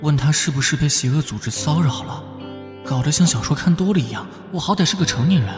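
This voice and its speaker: A man playing an earnest young police detective in an animated drama, serious and brooding. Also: fairly high pitch, fast talker, music in the background, natural voice. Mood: pensive.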